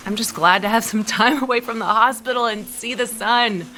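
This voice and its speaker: Supportive woman doctor, bright crisp tone, slightly high, friendly, soap opera. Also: normal speed, slight background noise. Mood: friendly, curious. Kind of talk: audio soap opera acting.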